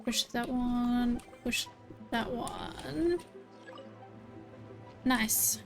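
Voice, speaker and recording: A woman streamer with a high voice chats casually as she plays. She takes her time, repeating short phrases and reacting expressively. Faint music plays underneath. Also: drawn-out words, vocal fry. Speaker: game streamer. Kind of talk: casual live gameplay reaction commentary.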